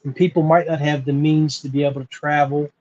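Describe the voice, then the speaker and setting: An American man hosting a podcast, talking informally and earnestly with frequent pauses. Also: a little high, flat delivery, darkish tone, dull audio. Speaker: podcast host. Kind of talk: informal solo podcast talk.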